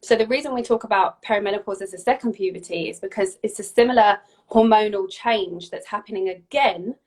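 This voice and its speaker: A woman's voice: mid-range voice, a little fast, darkish tone. Woman, 40s, health practitioner; casual, explanatory, stop-start delivery. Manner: explanatory, frequent restarts and repeats. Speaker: functional medicine practitioner. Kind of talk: casual expert chat.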